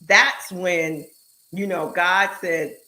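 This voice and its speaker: Host, female, bright tone, animated and emphatic, quick bursts. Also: fairly low pitch, poor audio. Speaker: show host and speaker. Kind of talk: casual chat on a live online show.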